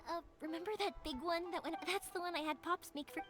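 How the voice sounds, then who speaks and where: Bright-spirited, high-voiced young game heroine. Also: female voice, fast. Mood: moved, bashful. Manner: chirpy, earnest, expressive. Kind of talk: video game voice acting. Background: game music.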